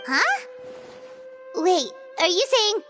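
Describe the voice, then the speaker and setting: A tiny childlike game fairy, eager and squeaky. The pitch is extremely high and very animated, delivered slowly and exclaimed with bubbly curiosity. Music plays clearly behind the voice. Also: female voice, full character voice. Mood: surprised. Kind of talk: anime game voice acting. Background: clear background music.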